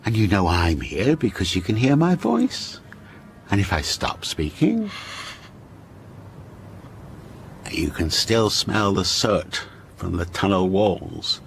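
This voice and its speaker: A man's voice: deep voice, a little hiss or hum, exaggerated voice. An actor playing a menacing, obsessive man who tells a ghost story to a captive listener in a radio drama, insistent and intimate. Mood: quietly menacing.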